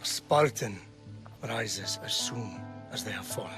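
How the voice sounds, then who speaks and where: A man's voice: on the low side, animated, a little slow, music in the background. An actor playing a stern, proud Spartan father in a weighty, commanding video game performance. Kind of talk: video game cutscene acting.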